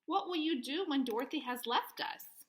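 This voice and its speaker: Woman playing Glinda, a gracious high-voiced good witch. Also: moderate pace, reverberant, close to an everyday voice. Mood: kindly inquiring. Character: kindly, beautiful young good witch in a storybook.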